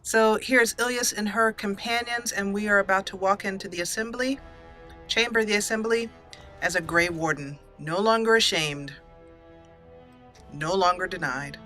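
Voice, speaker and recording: Bright-voiced woman narrating a game playthrough. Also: medium pitch, normal speed, faint music behind. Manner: chatty, giggly, slips into reading lines in character. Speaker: gaming channel host. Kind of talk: let's play roleplay commentary.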